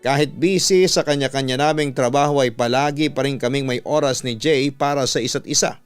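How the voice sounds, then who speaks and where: A Filipino man reading a listener's letter as a radio storyteller, in a measured, sentimental way with a smooth, resonant voice. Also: mid-range voice. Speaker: radio announcer and story narrator. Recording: music bed under the voice. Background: background music.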